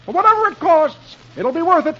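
A man voicing an excitable, melodramatic comic-strip husband and father, exclaiming dramatically. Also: very high voice, normal speed, pitched above the performer's own voice. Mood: cheerful. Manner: moaning, exclaiming, overdramatic. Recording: dull old radio recording.

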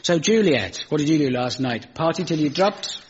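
A man's voice: a little high, animated, normal speed, breathy edge, highs cut off. A man with a nasal, mumbling voice hosting a comedy show, delivering a wry, sardonic scripted monologue.

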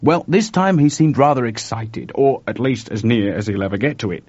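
A man voicing an urgent, nervous young male computer technician, talking in an excited rush. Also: medium pitch, moderate pace, breathy edge, no room sound, natural voice. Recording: dry, dull lo-fi recording.

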